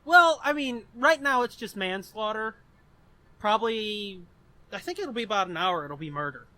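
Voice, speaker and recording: A man's voice: sits high, animated, normal speed, bright, forceful tone, no echo. A man co-hosting a podcast in casual comedic banter, crude and sardonic, swearing freely. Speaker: podcast co-host.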